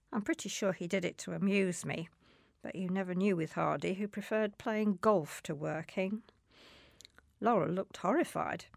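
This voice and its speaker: Creaky-voiced woman reading a memoir aloud. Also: medium pitch, dry recording, clean studio sound. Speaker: reader. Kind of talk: scripted literary reading.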